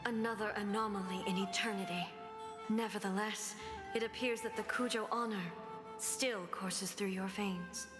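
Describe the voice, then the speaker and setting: A woman's voice: mid-range voice, steady tone, moderate pace, lightly acted. An actress voicing a stern, godlike female ruler in a game, formal and commanding, over game music. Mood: cool. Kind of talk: game dialogue voice acting. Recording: game audio with music behind.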